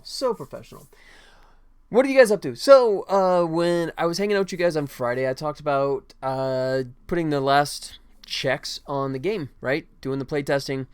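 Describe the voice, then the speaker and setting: A man's voice: mid-range voice, clear, resonant tone. A man hosting a casual game-development livestream, talking informally and thinking aloud as he works. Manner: informal, conversational, thinking aloud while working.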